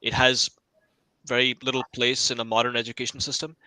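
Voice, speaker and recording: A man's voice: mid-range voice, flat delivery, fairly quick, pushed and bright, clean tone, dry recording, low-quality recording. A young man giving his opinions politely and unscripted as a student panelist on a podcast.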